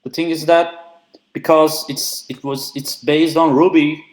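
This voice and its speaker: A man's voice: a little high, flat delivery, measured pace. Chatty man giving a remote talk. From Turkey. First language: Turkish. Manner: frequent "uh" fillers, loose and chatty. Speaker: conference speaker. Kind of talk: casual technical talk. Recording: echoey remote call audio.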